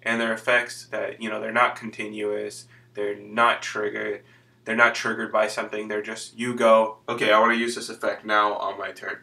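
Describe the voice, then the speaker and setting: Hoarse man explaining card game rules. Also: on the low side, flat delivery, normal speed, bright, forceful tone, recorded from a distance. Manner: loose, rambling explanations with fillers. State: hoarse from illness. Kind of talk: casual explanatory tutorial.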